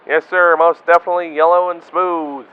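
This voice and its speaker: A man doing an excitable radio-play field reporter with a fairly high voice, firing off questions over a thin, tinny line. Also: moderate pace, clean tone, lightly acted. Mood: excited. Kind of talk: amateur radio drama acting.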